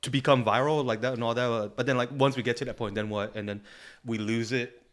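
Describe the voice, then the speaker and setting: A young man co-hosting a podcast, talking in a relaxed, thoughtful way and often checking in with "you know what I'm saying.". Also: mid-range voice, quick pace. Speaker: podcast co-host. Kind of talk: casual chat.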